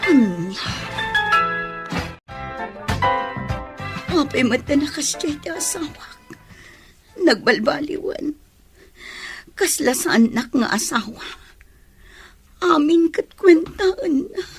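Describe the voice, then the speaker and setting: An actress playing a patient, long-suffering wife and mother in a radio drama, with a husky voice and an Ilocano accent. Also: high voice, lively intonation, slow pace, long pauses, soft background music, heavily acted. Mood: tearful.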